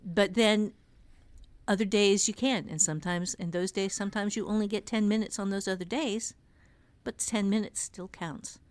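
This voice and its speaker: A woman's voice: medium pitch, fairly even intonation, normal speed, no echo. Woman author giving practical advice, dry sound. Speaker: guest author and writing teacher. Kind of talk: casual podcast conversation.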